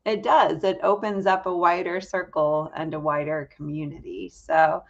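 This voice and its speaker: Low-pitched woman host, dull lo-fi sound. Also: fairly even intonation, normal speed, gravelly. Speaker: podcast host. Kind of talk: casual interview hosting.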